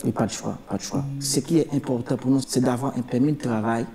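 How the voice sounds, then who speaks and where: A man's voice: medium pitch, fast, dark tone, soft background music. A man with a Haitian accent giving spontaneous interview testimony as an asylum seeker, emphatically repeating his phrases.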